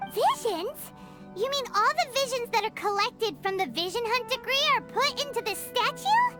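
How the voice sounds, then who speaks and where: Game sidekick: tiny cheeky girl sprite, extremely high and squeaky, swooping intonation, loud music behind. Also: very animated, moderate pace. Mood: curious, puzzled. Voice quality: squeaky, childlike. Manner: cutesy, refers to self in third person. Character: tiny childlike female companion sprite, cheeky and blunt. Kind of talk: scripted game cutscene dialogue. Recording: prominent music behind the dialogue.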